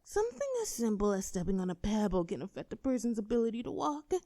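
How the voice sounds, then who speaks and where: A woman's voice: medium pitch, big pitch swings, fast talker, dark tone. A woman playing a gleefully cruel female mad scientist in a horror audio roleplay, speaking theatrically in precise, formal phrases. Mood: musing, excited.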